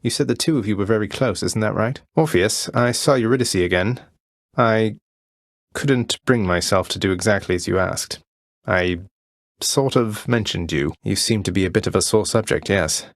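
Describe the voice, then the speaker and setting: A man playing a young underworld prince in a video game, friendly, courteous and gently wry. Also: on the low side, normal speed, dry recording, studio quality, lightly acted. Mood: concerned, tactful.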